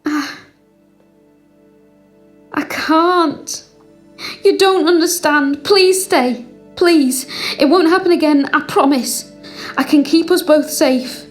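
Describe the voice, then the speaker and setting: A woman's voice: sits high, slow pace, pauses often, soft background music. An actress playing an anxious, clinging mother in an audio drama, hesitant and needy with her child. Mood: desperate, pleading.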